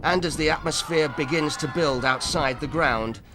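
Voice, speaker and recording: A man narrating a documentary in a measured, even scripted delivery. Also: a little high, heavy background noise.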